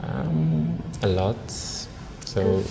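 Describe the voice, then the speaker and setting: A Nigerian man in his thirties on a blind date, talking in a hesitant, halting way with many restarts. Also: low voice, lively intonation, creaky, big-room sound, background noise. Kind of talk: casual conversation. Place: indoor restaurant with large windows. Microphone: lapel mic.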